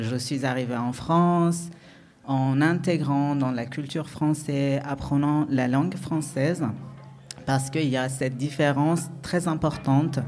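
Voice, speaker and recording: A man with a Persian accent who speaks in French slowly, pausing often to search for words. His voice sits a little high for a man, and he answers in a reflective, hesitant way, building each idea bit by bit. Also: a little mellow. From Iran. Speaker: guest, dance artist. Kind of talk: radio interview answer.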